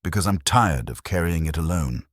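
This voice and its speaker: Man voicing a deep, haunted, weary soldier. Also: animated, no echo, clean studio sound. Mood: weary, bitter. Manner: grave, confessional, halting short sentences. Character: weary, haunted male soldier burdened by guilt.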